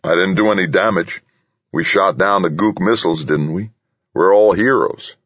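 A man's voice: low-pitched, moderate pace, a bit bright, voice pushed up. A man voicing a big, hot-tempered, foul-mouthed bully of an engineer in an audiobook, belligerent and roaring. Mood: cocky. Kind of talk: audiobook character voice. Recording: slightly dull, roomy recording.

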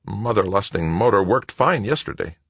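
Man acting salty blue-collar moon worker, exasperated, methodical curser, raised gravelly voice, audiobook. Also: lowish voice, expressive, normal speed, no room sound, pitched above the performer's own voice. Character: Wojo, gruff cursing male lunar worker, exasperated, salty-tongued. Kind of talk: audiobook character voice.

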